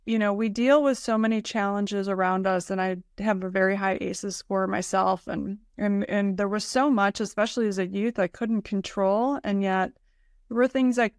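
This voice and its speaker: Middle-aged woman podcast host, creaky clear voice. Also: mid-range voice, no echo, studio quality. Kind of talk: conversational interview. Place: indoor room, video call. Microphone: desk microphone, close.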